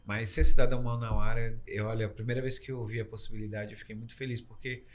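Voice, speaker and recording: A man from Brazil, raised in Recife, a guest speaking casually with teasing jokes and animated bursts. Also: lowish voice, fast. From Brazil, born in Salvador, raised in Recife. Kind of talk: casual interview talk.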